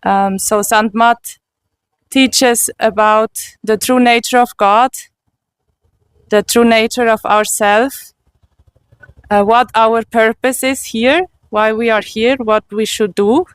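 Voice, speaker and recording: A woman with a German accent speaks slowly and earnestly, often pausing to find her words. Her voice is smooth and clear, recorded dry and close with no room sound. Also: a little high, steady tone, no room sound. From Switzerland. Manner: slow and earnest, with frequent pauses and restarts. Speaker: interviewee. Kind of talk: personal testimony.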